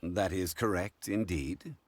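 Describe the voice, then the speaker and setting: An actor playing a calm, refined, erudite gentleman in a fantasy video game, with measured, polite and formal speech. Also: deep voice, a little hiss or hum. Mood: composed.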